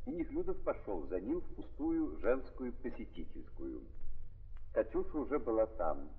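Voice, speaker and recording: A muffled, reverberant vintage radio recording of a solemn male storyteller. He narrates a tragic novel in deliberate, declamatory phrases, with the pitch swooping widely through each sentence. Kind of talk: 1930s Russian radio drama narration.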